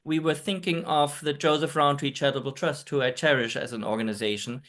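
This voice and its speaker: A man's voice: fairly high pitch, fairly even intonation, fairly quick, a bit bright. A man from Germany giving an earnest, hesitant talk on a video call, with frequent ums. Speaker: presenter.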